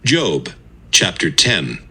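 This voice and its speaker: A man's voice: low voice, wildly swooping pitch, takes it slowly, sharp tone, breathy edge. A man narrating scripture in a formal, scripted style.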